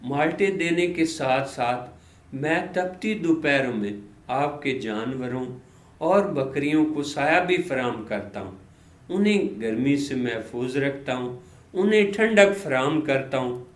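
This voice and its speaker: A man's voice: mid-range voice, expressive, reverberant, close to an everyday voice. A man voicing a courteous, helpful orange tree with a mild manner in a moral tale. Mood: smiling, courteous. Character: smiling, helpful orange tree, respectful to its ruler.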